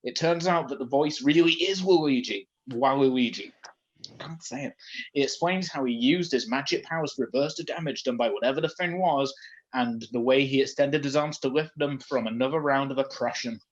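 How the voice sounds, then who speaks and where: A man's voice: medium pitch, moderate pace. Dull-sounding man reading a story for laughs. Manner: breaks off for joking asides. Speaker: fan fiction reader on a comedy stream. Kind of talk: comedic read-aloud of a story.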